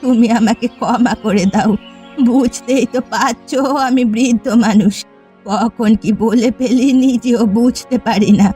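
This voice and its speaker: A woman playing an elderly, clinging mother-in-law in an animated family drama, speaking with emotional emphasis. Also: a little high, a little slow, faint music behind, natural voice. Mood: remorseful, pleading. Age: older. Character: elderly widowed mother-in-law, jealous, clinging, later remorseful.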